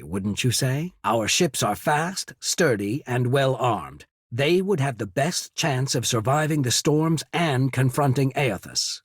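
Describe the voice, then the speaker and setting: An actor playing a cool, shrewd, courteous military official in a video game, speaking in a measured, formal, husky way. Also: male voice, medium pitch, no echo, clean studio sound. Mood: measured, skeptical. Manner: measured, formal, subtly calculating. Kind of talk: scripted game character dialogue.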